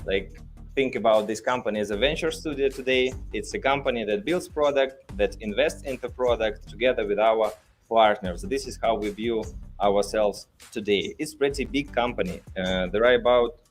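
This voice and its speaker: Low-voiced Russian-accented man, halting delivery. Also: faint music behind. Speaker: company leader hosting a livestream. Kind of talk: casual live podcast talk. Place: studio built in a hotel room.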